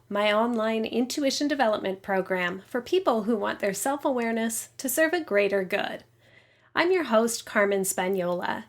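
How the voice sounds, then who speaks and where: A woman hosting a podcast who introduces her guest and asks curious questions. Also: fairly high pitch. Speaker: podcast host. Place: office.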